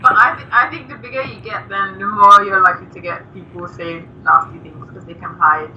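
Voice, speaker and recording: A young woman from Nigeria, a musician guest, chatting softly and giggling with lots of fillers. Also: mid-range voice, fairly even intonation, brisk. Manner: soft-spoken, giggly, with many fillers. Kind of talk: casual interview chat. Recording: distant, dull lo-fi remote call with faint noise.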